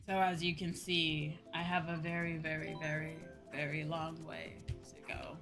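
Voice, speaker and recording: A woman's voice: deep voice, little pitch movement, moderate pace, a bit bright. A woman livestreamer chatting casually while gaming, in an informal, conversational way with emphatic repetition. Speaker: livestreamer, VTuber. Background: background music.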